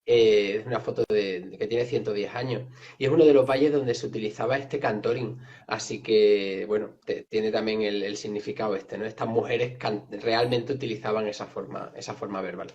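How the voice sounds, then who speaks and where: A man from Extremadura, a writer and translator, explaining things in a reflective, somewhat hesitant way in a relaxed conversation. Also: fairly low pitch, normal speed, dull audio. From Extremadura, Spain. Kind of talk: casual conversation.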